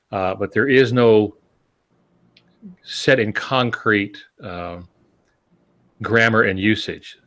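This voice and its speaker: Low-pitched man explaining with wry humor. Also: a bit bright. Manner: wry, joking. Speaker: podcast co-host and author. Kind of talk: casual explanatory podcast talk.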